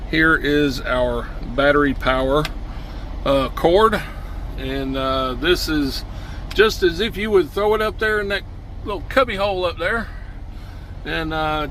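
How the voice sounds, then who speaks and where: A man's voice: high voice, a little hiss or hum, low-quality recording. A man with a folksy, plain-spoken, opinionated way of talking, hosting a casual hands-on product test vlog. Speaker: host of a trucking and CB radio channel.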